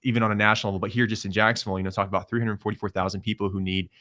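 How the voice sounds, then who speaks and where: Fast-talking low-voiced man. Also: little pitch movement, pushed and bright. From Croatia. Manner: upbeat, rapid pitch-style talk. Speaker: guest, housing company sales executive. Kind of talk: casual podcast conversation.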